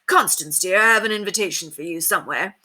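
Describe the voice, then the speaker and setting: A woman's voice: lowish voice, fast talker, pushed and bright. A woman voicing a blustering, offhand upper-class husband from a storybook, breezy and distracted. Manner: breezy, distracted, tags sentences with what and eh. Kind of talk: storybook character voice read aloud.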